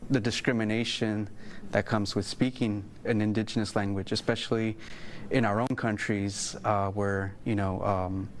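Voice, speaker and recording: A man speaking slowly and deliberately in an interview, with some fillers. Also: lowish voice, fairly even intonation, slight background noise. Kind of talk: interview answers.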